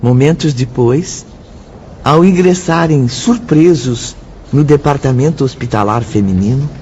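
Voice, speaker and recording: A man with a Brazilian accent playing a solemn, measured storyteller in a radio drama. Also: mid-range voice, mellow, a little hiss or hum, natural voice. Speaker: narrator. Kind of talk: scripted radio drama narration. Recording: dull audio with clear music.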